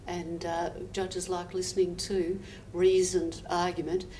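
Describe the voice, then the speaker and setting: A woman barrister speaking in a measured, slightly hesitant way on a panel. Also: on the low side, little pitch movement, mellow. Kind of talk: panel discussion. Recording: distant mic with faint hiss.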